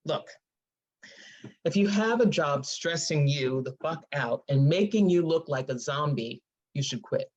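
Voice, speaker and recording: A woman's voice: sits low, unhurried. A woman acting a brash, playful, teasing best friend in a live dramatic script reading. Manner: animated, teasing. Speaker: actor in a screenplay table read. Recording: dull lo-fi audio.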